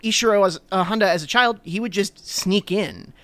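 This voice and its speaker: A man's voice: high-pitched, moderate pace, fairly bright tone, no echo. A man in his thirties from New Jersey, co-hosting a podcast, talking eagerly and rambling with lots of fillers. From New Jersey, USA. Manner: enthusiastic, rambling with many fillers. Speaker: podcast co-host. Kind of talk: casual chat.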